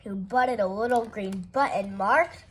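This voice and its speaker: A woman reading a rhyming children's picture book aloud with playful, expressive delivery. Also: mid-range voice, breathy edge. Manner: unhurried, expressive read-aloud with playful character voices. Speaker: storytime reader.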